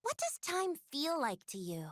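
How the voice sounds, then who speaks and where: An eager young girl mage from a video game, high and animated. She sounds friendly, chirpy and earnest, recorded dry with faint music behind. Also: moderate pace, no room sound, heavily acted.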